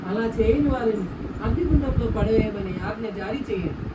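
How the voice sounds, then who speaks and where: A woman's voice: a little high, brisk, natural voice. A woman playing a proud, commanding king in an amateur puppet show, declaiming orders imperiously. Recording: roomy, distant mic, loud background noise.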